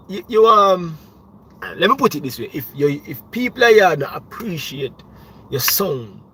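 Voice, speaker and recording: A man's voice: on the high side, a bit bright, breathy edge. A young Jamaican man, a music producer, talking casually on a livestream, rambling with many restarts and "you know what I mean.".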